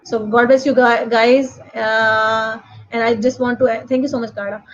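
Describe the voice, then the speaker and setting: A woman with a Pakistani accent hosting an online show, speaking English as a second language in a halting, repetitive, effusive way. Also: on the high side, flat delivery. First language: not English. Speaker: host. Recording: dull, lo-fi online call audio.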